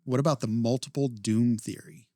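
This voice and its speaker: A man's voice: medium pitch, steady tone, moderate pace, dark tone, no room sound. Laid-back man, dry close mic. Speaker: podcast co-host. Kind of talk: casual chat.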